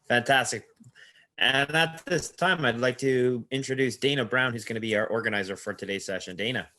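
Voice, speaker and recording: A man's voice: medium pitch, brisk, pushed and bright. A man, a program coordinator, emceeing the session with upbeat introductions. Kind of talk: emceeing online info session.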